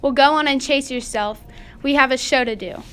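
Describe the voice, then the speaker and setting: A woman's voice: high voice, moderate pace, a bit bright, clean tone. A woman acting as interviewer in a scripted, 1920s-themed radio interview.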